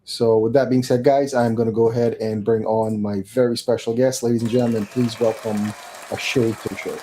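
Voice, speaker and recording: A man's voice: medium pitch, flat delivery, fairly quick, mellow. A man hosting a live talk show, making announcements and welcoming a guest in an upbeat way with frequent fillers. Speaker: show host.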